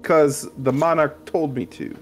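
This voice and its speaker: A man's voice: a little high, a little slow, faint music behind. A man chatting and joking with a friend as they play a co-op role-playing game together. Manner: joking, talkative. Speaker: co-op player. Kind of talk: casual let's-play chat.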